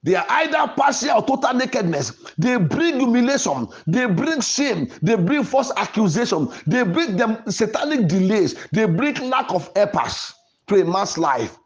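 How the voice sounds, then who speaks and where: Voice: mid-range voice, sing-song, moderate pace. A preacher delivering a sermon in an emphatic, declarative way, repeating phrases for emphasis. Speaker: preacher and podcast host.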